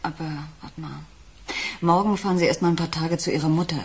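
A woman's voice: on the low side, fairly even intonation, brisk, a little mellow, breathy edge. An actress playing a domestic, matter-of-fact woman talking to her partner in a German radio crime drama.

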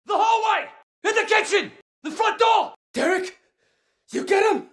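An actor playing a frantic, vicious militant fighter under siege, shouting in a video game with a strained voice. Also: very high voice, lively intonation, drawn-out words, roomy sound, some chatter behind, exaggerated voice. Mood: vicious, taunting.

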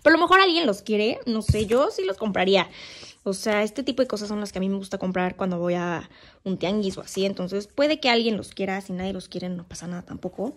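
A woman's voice: medium pitch, a little fast. A young woman in her twenties with a Mexican Spanish accent, chatting casually to her phone camera as she prices and shows clothes. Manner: chatty, pricing and showing clothes. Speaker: vlogger. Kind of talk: casual vlog talk to camera. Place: bedroom at home, then outdoor bazaar. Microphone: handheld phone camera.

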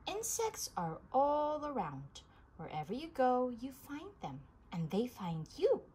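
A woman reading a children's science book aloud in an animated storybook manner, with emphasis for young listeners. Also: sits high, measured pace. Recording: clearly noisy home recording.

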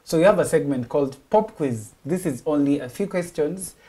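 A young man with a Kenyan accent hosting an interview in relaxed, casual Swahili and English, recorded on a lapel mic in a studio. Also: on the high side, fairly quick. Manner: relaxed, lots of short backchannel replies. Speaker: host. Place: indoor studio set, armchairs.

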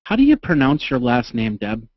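A man's voice: mid-range voice, huge pitch swings, moderate pace, dull audio. A middle-aged man hosting a casual interview, speaking close to a studio mic. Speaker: interviewer, host. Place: indoor home studio. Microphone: condenser mic on boom arm, close.

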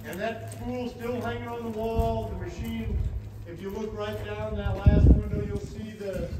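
High-voiced male tour guide outdoors. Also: a little slow. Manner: steady, informative, with friendly asides. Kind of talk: guided walking tour explanation. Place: outdoors at a demolished factory site. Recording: loud outdoor background noise.

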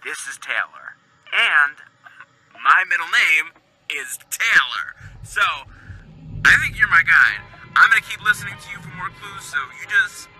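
A man's voice: on the high side, pushed and bright, rough recording. A man playing a nervous, stammering caller leaving a message on an answering machine. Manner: hesitant, rambling, stammering. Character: nervous young man hearing premonitions.

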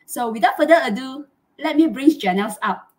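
A woman's voice: high voice, lively intonation, a little fast. Effusive Malaysian woman hosting. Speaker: host. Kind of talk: live chat interview hosting. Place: small home room, indoors. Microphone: video call.

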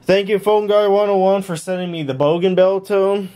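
A man's voice: high-pitched, level tone, moderate pace, dark tone. A man hosting a hobby tech vlog, casually narrating a tour from behind a handheld camera in a small room at home. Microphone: handheld camera held by the speaker.

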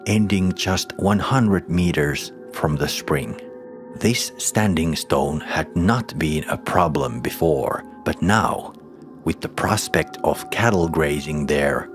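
Super deep voiced guy telling a creepy story, hushed and half whispering, faint music under it. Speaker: narrator. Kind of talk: scripted horror story narration.

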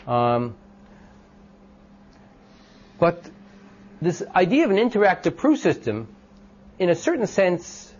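Loud-voiced man lecturing, lo-fi recording. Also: high-pitched, very animated, takes it slowly, pauses often. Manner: thinks aloud with many ums and restarts, asks the class questions. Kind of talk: informal classroom lecture. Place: classroom.

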